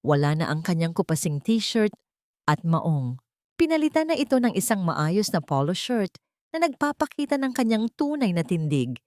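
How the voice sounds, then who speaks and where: A woman with a Filipino accent narrating a scripted dramatic story in a measured, literary way. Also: medium pitch, big pitch swings, a little mellow, dry recording, studio quality.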